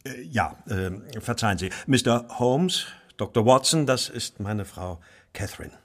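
An actor playing an agitated, well-to-do married gentleman in a German radio drama, speaking formally and fretfully. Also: mid-range voice, lively intonation, a little slow. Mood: subdued.

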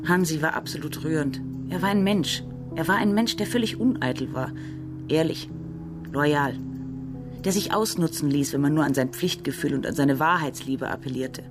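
An actress playing a cool, blunt witness in a radio crime drama, speaking in clipped statements. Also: female voice, deep voice, normal speed, music in the background, lightly acted. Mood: earnest, frank. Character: cool, blunt female witness questioned about colleagues.

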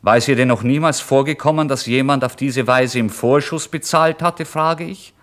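A man's voice: mid-range voice, normal speed, lightly acted. An actor playing a starving, proud and agitated down-and-out man in a dramatic literary radio monologue. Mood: indignant, angry. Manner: dramatic, swinging from hushed brooding to indignant outbursts.

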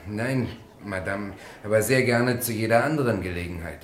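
A man's voice: lowish voice, moderate pace, natural voice. An actor playing a shy, earnest, polite small-town man in a German radio play, plain-spoken and hesitant. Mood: politely firm. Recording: echoey room, faint background noise.

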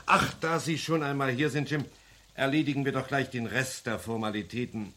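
A male actor as a veteran police chief in a radio crime play. He is genial yet commanding, with a mid-pitched voice. He talks in a measured, authoritative manner. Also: fairly bright tone, close to an everyday voice. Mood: businesslike. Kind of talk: German radio crime drama acting.

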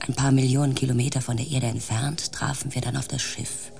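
An actress playing a thoughtful spaceship team coordinator who narrates a radio drama in a reflective manner. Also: female voice, a bass voice, little pitch movement, brisk, creaky, crowd noise.

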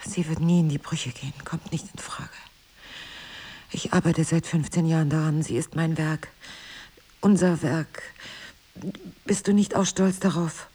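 A devoted wife with a husky, breathy voice. She is earnest and determined, insisting on her love in an intimate radio drama. Her delivery often sinks toward a whisper. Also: deep voice, fairly even intonation, unhurried, pauses often, warm tone. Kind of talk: intimate dialogue-driven audio drama.

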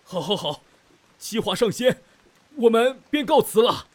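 A man's voice: high-pitched, big pitch swings, measured pace, a little mellow, exaggerated voice. An actor playing a deferential, anxious male mountain villager in a Chinese fantasy audio drama. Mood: grateful, respectful.

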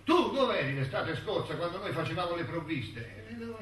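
An actor playing a wistful, bitter elderly intellectual in a theatrical monologue, musing and halting as he reminisces. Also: sits high, lively intonation, background noise. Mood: mocking storytelling. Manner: halting, musing, with rhetorical tags and repetitions. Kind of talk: theatrical monologue in a radio-broadcast play. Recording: echoey stage recording, distant mic, music.

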